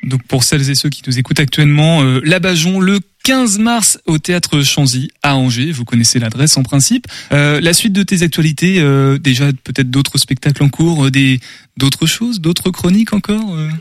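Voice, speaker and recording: A man's voice: fairly high pitch, expressive, dry recording, clean studio sound. Man from Anjou hosting a radio interview. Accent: French from Anjou. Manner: friendly, halting with fillers. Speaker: radio host.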